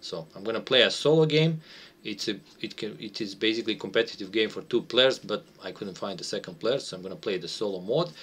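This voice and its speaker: A man's voice: fairly low pitch, animated, brisk, a bit bright, breathy edge. A man, an English speaker, giving a casual, unscripted game walkthrough and hesitantly searching for words. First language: not English. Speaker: board game designer playtesting a game. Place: small room at home, indoors.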